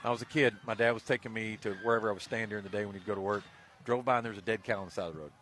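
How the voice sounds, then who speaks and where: A man with a fairly low voice talks very quickly, like someone swapping stories in a radio booth. His delivery is casual and conversational, and he acts out quoted lines as a storyteller would. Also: fairly even intonation, a bit bright, a little hiss or hum. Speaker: radio sports broadcaster. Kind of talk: casual on-air storytelling and banter. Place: live high school football radio broadcast.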